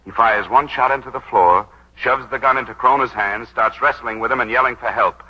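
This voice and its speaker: An actor playing a brash, wry lawyer who schemes and smooth-talks, in 1940s radio drama style. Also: male voice, medium pitch, bright, forceful tone. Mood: sly, insistent. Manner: wry, fast-witted, smooth-talking. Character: brash, wisecracking young lawyer turned schemer, slick and probing. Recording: dull 1940s radio broadcast recording.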